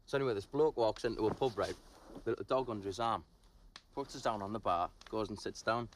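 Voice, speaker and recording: An actor playing a crude soldier who tells a pub joke in a lively, animated way. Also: male voice, lowish voice, brisk, a little hiss or hum, natural voice. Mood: joking. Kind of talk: film dialogue.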